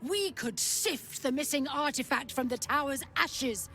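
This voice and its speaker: An actress playing a haughty, menacing alien warrior commander in a fantasy video game, speaking in a clipped, formal, commanding manner. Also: female voice, high voice, lively intonation, unhurried, bright, forceful tone, slight background noise, full character voice. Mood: pleading, tense.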